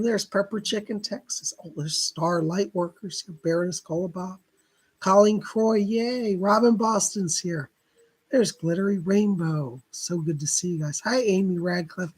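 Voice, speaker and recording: A man hosting a live psychic reading stream, hoarse from laryngitis, chatting casually and clearing his throat often. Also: high voice, steady tone, moderate pace, a little mellow, poor audio. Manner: chatty, greets viewers by name, keeps clearing his throat.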